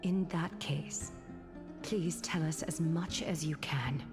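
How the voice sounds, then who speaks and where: A composed noblewoman from a video game. Her voice sits low, soft and formal, with quiet authority. It echoes roomily over clear background music. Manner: measured, formal, soft-spoken. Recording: roomy echo with music behind.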